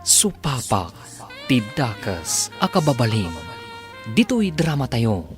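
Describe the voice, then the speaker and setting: A man's voice: medium pitch, very animated, slow. A man announcing a radio drama title in a dramatic style. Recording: music bed under the announcement.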